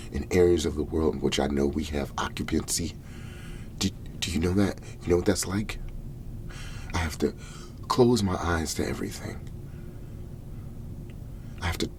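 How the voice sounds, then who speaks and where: A man playing a weary, desperate cleanup agent in an ASMR roleplay, pleading in halting, breathy whispers and murmurs. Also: extremely low pitch, slight background noise.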